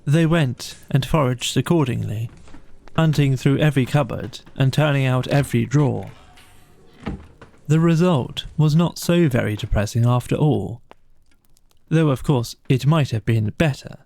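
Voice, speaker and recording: A man's voice: mid-range voice, measured pace, vocal fry, a little mellow. A man narrating a bedtime story from a script as an audiobook reader.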